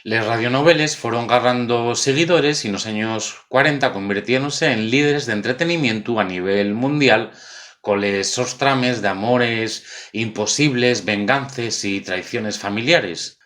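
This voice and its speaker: A man's voice: mid-range voice, fairly bright tone. A man with an Asturian accent hosting an informal explainer video, speaking in a conversational, wry way. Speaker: video host presenting local cultural history.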